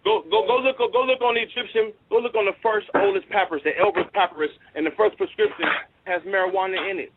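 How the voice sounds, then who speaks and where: Thirties man, high-pitched, argumentative caller, lecturing tone, heard through a tinny phone line. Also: fairly even intonation, fast talker, a little hiss or hum. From Southern California. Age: 30s. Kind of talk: heated call-in radio debate.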